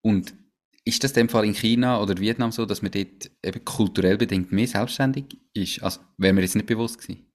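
Podcast host, man, very low voice, curious questioner. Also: brisk. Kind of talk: casual interview.

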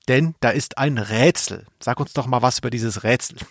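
A man's voice: medium pitch, moderate pace, no room sound, studio quality. A man hosting a podcast about radio plays, explaining things conversationally in an amused way to his co-host.

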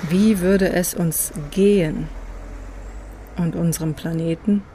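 A narrator reading a scripted personal travel story in a reflective, measured way, recorded close on a microphone. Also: medium pitch, steady tone, warm tone, slight background noise. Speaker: podcast host and narrator.

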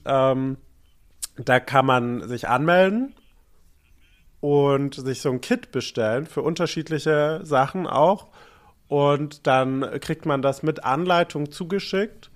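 A young man co-hosting a podcast in relaxed conversation. He wanders through his points, stopping and restarting with plenty of fillers. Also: mid-range voice. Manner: rambling, many fillers and restarts. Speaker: podcast co-host. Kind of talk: casual chat.

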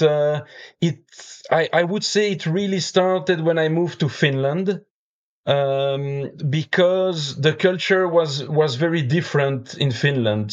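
A man in his forties with a French accent, a guest in a podcast interview, talking in an unhurried, halting way with many fillers. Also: a little high, muffled recording. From Belgium. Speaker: guest, beer judge and brewer.

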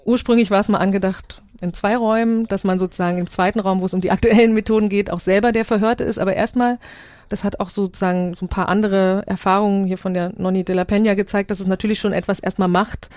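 Female guest expert, medium pitch, conversational and explanatory, recorded close and dry. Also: steady tone, fast, a little mellow, no echo.

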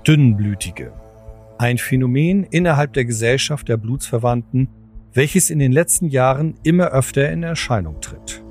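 A man's voice: medium pitch, normal speed, darkish tone. Male audio drama narrator over music. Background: clear background music.

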